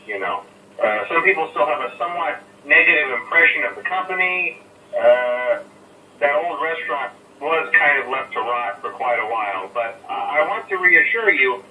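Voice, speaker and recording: A man's voice: medium pitch, pushed and bright, slight background noise. An actor playing a chatty, nervous male company phone messenger in a horror game, rambling with lots of "uh" fillers and awkward chuckles. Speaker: phone guy giving night guard instructions. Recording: tinny phone line, echoey and distant.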